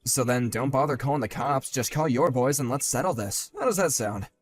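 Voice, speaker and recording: A man voicing a calm, sardonic grown man who talks in a level, dry and cutting way, in a one-man voiced drama. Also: mid-range voice, a little fast, soft background music, pitched below the performer's own voice, lightly acted.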